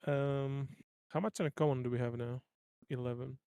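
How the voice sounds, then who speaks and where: A man with a creaky, gravelly voice of middling pitch talks casually through a tabletop game. The sound is very dry, with no room echo. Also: steady tone, normal speed, dark tone. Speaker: player in a tabletop roleplaying game.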